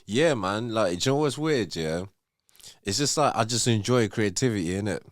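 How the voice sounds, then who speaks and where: A young man from Zimbabwe speaks in a very low, deep voice. He rambles easily as a podcast guest, full of fillers and asides. The recording is close and dry, with no room sound. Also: moderate pace, dry recording. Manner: relaxed, rambling, full of fillers like "you know what I mean". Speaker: artist, guest. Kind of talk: casual podcast interview.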